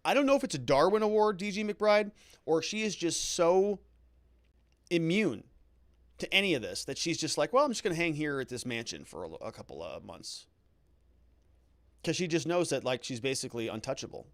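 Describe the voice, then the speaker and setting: A man's voice: a little high, sing-song, normal speed, dry recording. A middle-aged American man hosting a livestream, reading out viewer comments and adding wry, conversational commentary. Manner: wry, conversational, joking asides. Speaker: livestream host and legal commentator.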